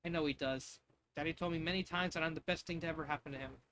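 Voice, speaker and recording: A man's voice: a little high, fairly even intonation, quick pace, fairly bright tone, a little hiss or hum. A man voicing an earnest young princess who adores her father, in a fan fiction storybook reading. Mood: sad, yearning.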